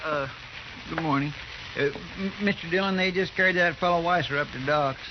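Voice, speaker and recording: An actor playing an earnest, anxious deputy-like helper in an old-time radio western, hesitant, respectful and plainspoken. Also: male voice, on the high side, brisk, close to an everyday voice. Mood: anxious, deferential. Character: earnest, deferential marshal's helper, simple and anxious. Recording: muffled old radio recording, noisy.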